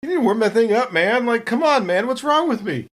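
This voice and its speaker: A man plays a panicky slacker patient in a sci-fi tabletop game. He complains in repetitive, drawling slang and cannot settle down. His pitch jumps around in a very animated way. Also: fairly quick. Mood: whiny, uneasy. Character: whiny, panicky male patient Wild Bill, restless slacker.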